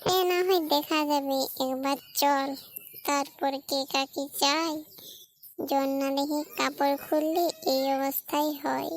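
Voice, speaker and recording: A high, girlish voice for a scheming younger sister in a cartoon , played by a woman. She is cheeky and theatrical, given to taunting her rival in love. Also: steady tone, a little slow, slight background noise, poor audio. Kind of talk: cartoon skit dialogue.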